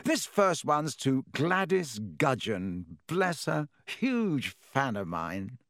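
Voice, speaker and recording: A man's voice: mid-range voice, big pitch swings, slow pace, fairly bright tone, no room sound, clean studio sound, full character voice. A man voicing a vain, jovial celebrity author in a storybook, with a pompous, drawling, theatrical manner. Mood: beaming.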